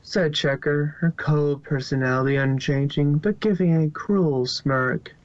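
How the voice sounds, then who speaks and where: A man's voice: on the high side, measured pace, no echo, lo-fi sound. A man narrating fan fiction as an amateur reader, in a storytelling style.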